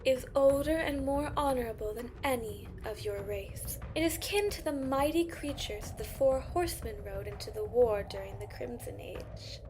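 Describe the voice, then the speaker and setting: A woman's voice: on the high side, lively intonation, moderate pace, few pauses, noisy background, close to an everyday voice. A woman playing a haughty, solemn judge of mythic beings in a fantasy audio drama, speaking formally. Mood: scornful reverence. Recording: loud music under the voice.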